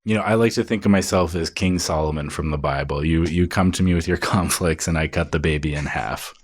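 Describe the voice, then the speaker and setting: Smug high school guidance counselor, gossipy and confiding, deep register male comedy performer. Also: a little fast. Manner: confiding, self-satisfied.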